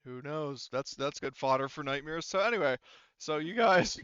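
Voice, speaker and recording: A man narrating a tabletop game casually as game master, halting often as he thinks aloud. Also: on the high side, animated, fairly bright tone, clean tone, dry recording, dull audio.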